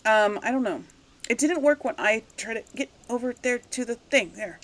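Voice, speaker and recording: A woman's voice: a little high, expressive, moderate pace, dry recording. A woman giving casual, rambling game commentary, absent-minded and thinking aloud as she plays. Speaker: gamer hosting a role-play playthrough series.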